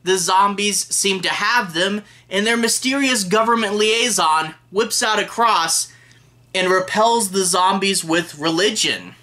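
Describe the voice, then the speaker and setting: Guy in his 30s doing a casual movie review, bright forceful voice, chatty and into it. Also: high voice, measured pace. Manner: chatty, enthusiastic. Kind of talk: casual film review to camera. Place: small room lined with DVD shelves.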